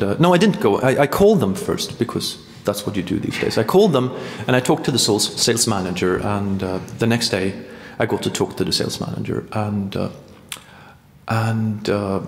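A man's voice: on the low side, slight background noise. A man in his thirties from Sweden with a Swedish accent, telling a rambling story as a performance artist, full of hesitations and restarts. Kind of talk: performance monologue. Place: large hall. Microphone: stand mic. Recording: echoey hall, long reverb.

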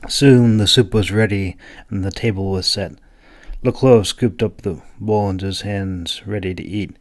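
A man's voice: sits low, fairly even intonation, normal speed, no echo, clean studio sound. A man reading a fantasy audiobook as narrator, with dramatic storytelling delivery.

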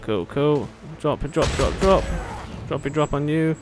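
A man's voice: on the high side, takes it slowly, heavy background noise. A man giving casual gameplay commentary with playful, sardonic asides and bits of sing-song babble. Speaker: gamer commentating a playthrough. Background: game music.

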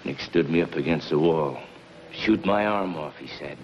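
An actor playing a loyal, weary middle-aged henchman, gruff and slangy, in a 1950s television crime melodrama. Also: very deep voice, normal speed. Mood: grim, resigned. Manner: gruff, plain-spoken, slangy. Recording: muffled, noisy 1950s television soundtrack.

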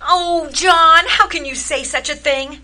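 A woman's voice: high voice, unhurried, bright, forceful tone, full character voice. A woman acting in radio theater as an anxious, long-suffering wife, plaintive and dramatic. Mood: weary, hurt.

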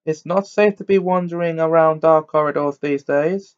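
Man doing a pompous teen prefect: stiff, stern, self-important, higher than his own voice. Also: fairly even intonation, normal speed, smooth, close to an everyday voice. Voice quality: stiff, indignant. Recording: dull lo-fi recording.

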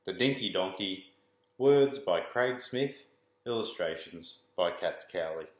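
A man's voice: sits low, expressive, takes it slowly. A man in his forties reads a rhyming picture book aloud to children in a sing-song, rhythmic way. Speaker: school staff member reading aloud to children. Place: small indoor room with bare walls. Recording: roomy indoor echo.

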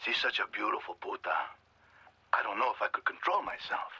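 An actor playing a mocking, sadistic cartel boss in a video game, leering and menacing over a radio. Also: male voice, fairly low pitch, brisk, pushed and bright, breathy edge, highs cut off, exaggerated voice. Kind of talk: video game villain acting.

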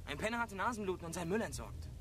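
A man's voice: high-pitched, big pitch swings, racing. A young man playing a callous, crude teenage boy in a radio drama, talking in brash slang. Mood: cocky, dismissive. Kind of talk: German radio drama acting. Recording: loud noise behind, vehicle ambience.

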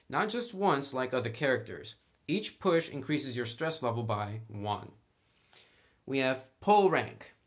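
A man hosting a tabletop game tutorial, explaining things step by step in a casual, conversational way. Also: fairly high pitch. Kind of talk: casual step-by-step tutorial, partly reading rules aloud.

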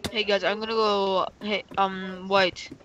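Mid-pitched gamer on a muffled mic. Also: level tone, measured pace, bright, forceful tone. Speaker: player in a gaming video. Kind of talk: casual in-character chat during a Minecraft roleplay. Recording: muffled mic with faint noise.

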